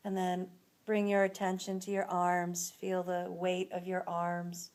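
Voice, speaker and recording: A woman leading a guided relaxation as an instructor, speaking in a soothing, unhurried manner with long pauses between phrases. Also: fairly low pitch, completely flat delivery, a little mellow.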